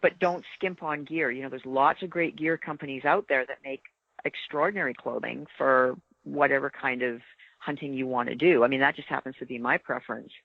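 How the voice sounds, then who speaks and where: A woman's voice: rumbling low, brisk, no room sound, narrow, tinny audio. A woman speaking earnestly and emphatically from experience, giving practical advice in a casual panel chat. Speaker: podcast guest, experienced hunter.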